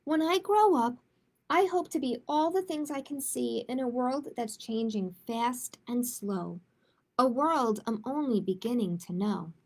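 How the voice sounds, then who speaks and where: A woman hosting a children's storytime, reading a picture book aloud and chatting casually, with an expressive, emphatic delivery. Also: fairly high pitch, measured pace.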